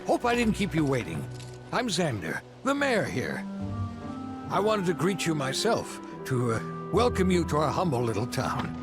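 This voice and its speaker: Fawning male town mayor, game cutscene. Highish, hugely animated, music behind. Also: normal speed. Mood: eager welcome. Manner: obsequious, effusive. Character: eager, deferential male small-town mayor. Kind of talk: video game cutscene acting.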